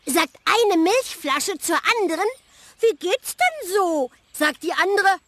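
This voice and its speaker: Squeaky cheerful critter, bouncy and giggly in tone. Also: female voice, animated, slow, fairly bright tone, dry recording, full character voice. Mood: gleeful. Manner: bubbly joke-telling. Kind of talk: children's radio play.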